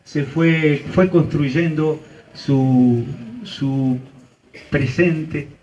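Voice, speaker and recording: A man's voice: fairly high pitch, steady tone, very slow, warm tone, distant mic, highs cut off. Earnest Argentine man giving a memorial speech. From Argentina, Santa Cruz province. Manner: earnest, storytelling, with frequent "eh" and "este" fillers. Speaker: speaker at a memorial ceremony. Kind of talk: commemorative public speech. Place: outdoor street ceremony.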